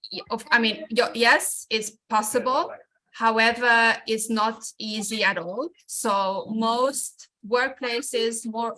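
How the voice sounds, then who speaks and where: A woman's voice: medium pitch, sharp tone, low-quality recording. A woman presenting an informational webinar over a video call, explaining things with frequent restarts and fillers.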